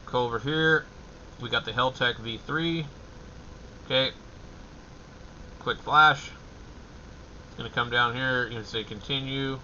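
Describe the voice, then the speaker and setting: A man hosting a casual livestream walkthrough, thinking aloud in halting, fragmented short phrases. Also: medium pitch, bright, forceful tone, highs cut off, slight background noise. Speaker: livestream host.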